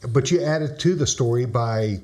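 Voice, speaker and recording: A man's voice: mid-range voice, normal speed, dark tone. A man casually reviewing a friend's photos, encouraging and giving short critiques. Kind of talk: casual chat.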